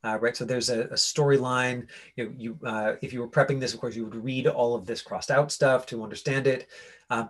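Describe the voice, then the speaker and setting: A man with a husky, mid-pitched voice coaches actors informally. He rambles through explanations and directions, often tagging them with a quick check for agreement. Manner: rambling, checks understanding with "right?". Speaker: acting coach.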